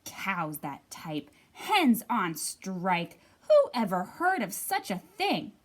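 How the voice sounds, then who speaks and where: Slow-paced woman reading to children. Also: medium pitch. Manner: animated, deliberate, addressing children directly. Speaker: children's librarian, storytime host. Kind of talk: expressive picture-book reading and storytime talk for young children.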